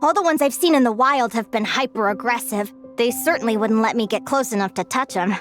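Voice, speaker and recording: A woman's voice: fairly high pitch, a little fast, lightly acted. A woman playing an earnest, friendly, upbeat young woman adventurer in an anime-style video game. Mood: happy, curious. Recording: music behind the voice.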